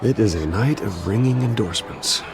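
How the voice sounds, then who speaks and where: A composed, wry young billionaire in a game drama. His very deep, creaky voice moves expressively while he stays polite and guarded. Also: lively intonation, moderate pace, a crowd in the background. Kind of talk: dramatic video game dialogue.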